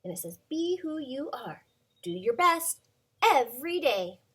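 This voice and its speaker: A woman in her thirties, a preschool teacher, reading a picture book aloud to children in a gentle, sing-song way with many ums. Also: sits high, sing-song, unhurried.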